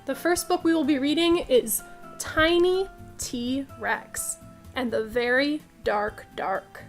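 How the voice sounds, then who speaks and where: A woman reading a picture book aloud for a children's storytime, expressive and deliberate, adding short asides. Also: sits high, soft background music. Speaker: children's librarian, storytime reader.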